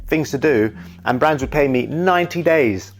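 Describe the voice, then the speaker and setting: A man's voice: fairly high pitch, lively intonation, moderate pace, faint music behind. A young man talking candidly about his life, rambling and often saying "you know". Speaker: YouTuber and wedding videographer. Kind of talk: reflective casual monologue.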